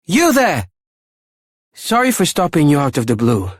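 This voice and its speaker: A man's voice: fairly high pitch, huge pitch swings, measured pace, dry recording, clean studio sound, full character voice. An actor playing an anxious, well-meaning townsman giving earnest warnings in a video game. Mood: worried warning. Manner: earnest, urgent, cautioning. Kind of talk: video game character acting.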